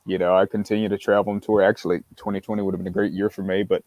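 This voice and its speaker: A man who is a touring musician, talking in a halting way with many restarts. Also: sits low, level tone, darkish tone, no echo. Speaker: musician guest. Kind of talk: casual chat. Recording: dry muffled call audio.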